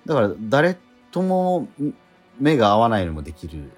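A man's voice: mid-range voice, moderate pace, faint music behind. A man with a Kansai accent hosting a radio show, chatting casually about theater rehearsals and often nodding along and laughing. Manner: relaxed, frequent backchannel nods and laughter.

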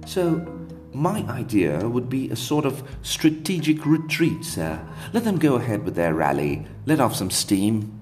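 A man's voice: mid-range voice, big pitch swings, unhurried, darkish tone, soft background music, voice pushed up. A man doing a nervous, deferential British equerry. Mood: tentative. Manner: polite, hesitant, keeps saying sir.

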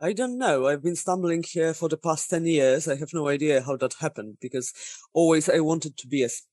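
A woman's voice: deep voice, a little fast, a little mellow, dry recording, clean studio sound. A Polish woman in her thirties, a game designer talking casually in a podcast interview, self-deprecating and laughing often. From Poland.

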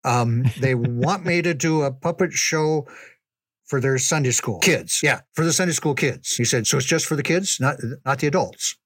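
Older guy spinning folksy stories, medium pitch, really dry sound. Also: moderate pace, hardly any pauses, a bit bright, husky, no room sound. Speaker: storyteller recalling ministry memories. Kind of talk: casual reminiscing storytelling.

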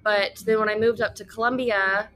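A woman's voice: mid-range voice, fairly even intonation, moderate pace, fairly bright tone, poor audio. A young woman from Houston, a yoga instructor guesting on a podcast, dry and teasing with a soothing voice. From Houston, Texas. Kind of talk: casual podcast chat.

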